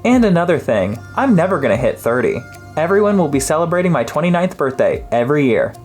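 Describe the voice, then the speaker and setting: Narrator acting an upbeat young dad, raised pitch. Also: big pitch swings, fast talker, darkish tone, natural voice. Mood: playful. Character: upbeat joking father in his twenties. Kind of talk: audiobook character dialogue. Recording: music behind the voice.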